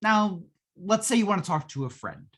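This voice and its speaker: Woman as a husky, folksy salesman. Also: medium pitch, very animated, moderate pace, a bit bright. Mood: eager, explanatory. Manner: colloquial, patient sales patter. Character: folksy, long-suffering male salesman of futuristic machines. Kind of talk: 1950s radio drama acting.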